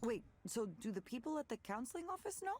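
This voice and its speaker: Casting: candid, outgoing woman friend, fairly high voice with swooping intonation, direct game dialogue. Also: very animated, quick pace, darkish tone, slightly rough. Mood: probing, concerned. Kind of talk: visual novel voice acting.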